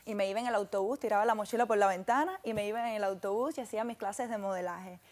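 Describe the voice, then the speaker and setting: A young woman with a Cuban accent telling personal stories casually and haltingly, with many filler phrases, as an interview guest. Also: medium pitch. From Cuba. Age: young adult. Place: television studio set with sofas.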